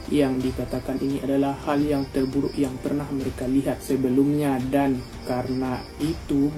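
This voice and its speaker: Young man, from Indonesia, true crime narrator, slangy, very dark tone, monotone. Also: mid-range voice, rough recording. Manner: slangy, addresses viewers directly.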